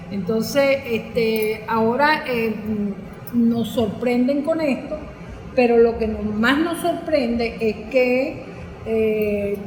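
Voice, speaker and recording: A woman with a Venezuelan Spanish accent speaking on a panel in a deliberate, halting way, searching for words. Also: a little high. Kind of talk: panel speech. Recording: echoey hall, faint background noise.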